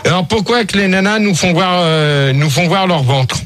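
A man's voice: high-pitched, normal speed, fairly bright tone. A man from the Paris region hosting a live call-in radio show, hesitant and filling gaps with sounds as he fields callers. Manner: hesitant, full of filler sounds and repeats.

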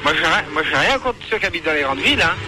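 A man from the French countryside calls a radio show with a rural western French accent. He is plain-spoken and halting, yet quick in his bursts, with a slightly high voice. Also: animated, rough recording. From countryside near Nantes, France. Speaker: phone-in caller. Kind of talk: spontaneous phone conversation.

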